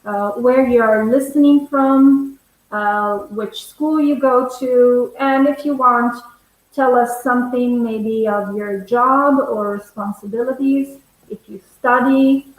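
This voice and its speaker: Slow-paced bilingual woman in an echoey room. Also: a little high. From Italy. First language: bilingual English and Italian. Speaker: English teacher, webinar host. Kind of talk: conversational online lesson. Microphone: earphone headset on video call. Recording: very echoey room, video call.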